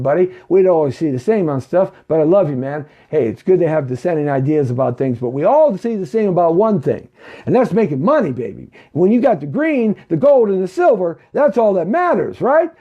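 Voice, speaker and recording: A fifties man, high-pitched for a man and swinging up and down, talks like a preacher and keeps driving points home with "okay?" and "right?". His voice sounds mellow and a bit dull. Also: sing-song, moderate pace. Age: 50s. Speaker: talk show host. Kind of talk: live money talk show.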